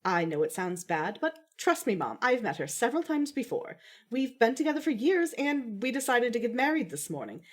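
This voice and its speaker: A woman's voice: fairly high pitch, very animated, moderate pace. A woman playing a composed, dry-witted young daughter with a formal, slightly wry manner in amateur audio fiction. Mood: earnest.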